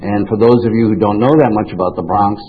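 An older man who grew up speaking French speaks in a fairly low voice through a thin, phone-like recording. He tells his own story to a group informally, circling back and interrupting himself as he goes. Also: fairly even intonation, fast, heavy background noise. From New York, United States. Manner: rambling, self-interrupting storytelling. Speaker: recovery meeting speaker. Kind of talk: informal personal testimony to a group. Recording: tinny band-limited radio-like sound.

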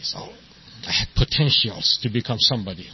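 A man in his sixties from Iran with an Iranian accent, giving a halting, self-deprecating personal testimony as the main speaker at a recovery meeting, full of fillers and restarts. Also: lowish voice. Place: meeting hall with an audience. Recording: dull lo-fi recording with faint noise.

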